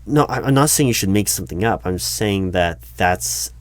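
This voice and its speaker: A man roleplaying a skeptical but polite hiring manager in a one-sided interview, speaking out loud in a halting, stammering way. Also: lowish voice, expressive, dry recording. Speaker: roleplay performer as recruiting manager. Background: computer keyboard typing.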